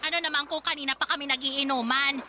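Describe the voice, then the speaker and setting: A woman's voice: high voice, fairly even intonation, fast talker, pushed and bright, slight background noise. Brazen middle-aged mother. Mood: defiant. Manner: brash, argumentative. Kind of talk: radio drama acting.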